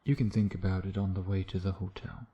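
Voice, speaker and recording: A man's voice: deep voice, fairly even intonation, quick pace, warm tone, muffled recording, lightly acted. A man playing a suave, teasing vampire who taunts his nemesis in an intimate, flirtatious ASMR roleplay style. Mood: wry, teasing. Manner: sardonic, flirtatious, menacing purr with breathy drops.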